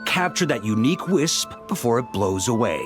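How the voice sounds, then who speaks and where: An actor playing a composed, scholarly young male knight in a game, calmly explaining things. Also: mid-range voice, moderate pace, natural voice. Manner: calm, articulate explainer. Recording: clear game music behind.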